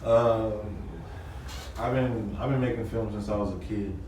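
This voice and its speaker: A young man with a low voice talks casually as a guest, stopping often with fillers and restarting his sentences. He is recorded from a distance in a very echoey room with loud crowd noise behind him. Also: a little slow. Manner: relaxed, hesitant, many fillers and restarts. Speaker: interview guest, media producer. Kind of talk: casual interview. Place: noisy event mixer, echoey room.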